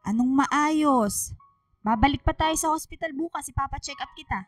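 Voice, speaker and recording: A woman's voice: high voice, normal speed, faint music behind, close to an everyday voice. A woman playing an anxious, fussing middle-aged Filipino mother and wife in a radio drama, fretful and insistent. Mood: concerned, bossy.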